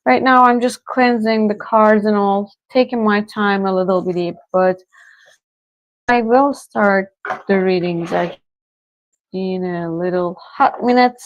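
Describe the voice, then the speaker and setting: Brief: woman, unhurried, easygoing livestream host, dry close sound. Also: medium pitch, dry recording, lo-fi sound. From Turkey. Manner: slow, relaxed, chatty with viewers, soft laughs. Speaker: tarot reader streaming live. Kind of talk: casual livestream chat with viewers.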